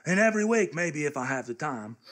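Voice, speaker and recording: Man acting a bright-voiced, aw-shucks young recruit. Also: a little high, sing-song, brisk. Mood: bashful, earnest. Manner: aw-shucks, folksy. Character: earnest, good-natured young male army recruit. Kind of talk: comic live radio theatre.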